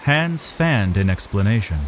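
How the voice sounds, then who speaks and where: A man narrates a dramatic fantasy story from a script. His voice is low and highly expressive in pitch, with faint ambience underneath. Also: normal speed, a little hiss or hum, rough recording.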